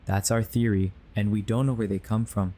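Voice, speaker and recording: A man's voice: fairly low pitch, fairly even intonation, moderate pace, dark tone, lightly acted. A man playing a grave, earnest believer warning others of a sinister conspiracy in an audio drama. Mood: grave, urgent. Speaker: voice actor.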